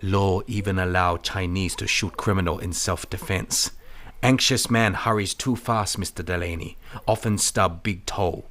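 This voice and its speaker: An actor playing a calm, wise Chinese-American detective who talks in clipped broken English with formal, aphoristic phrasing. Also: male voice, deep voice, unhurried. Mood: calm, stern. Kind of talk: old detective film radio dramatisation.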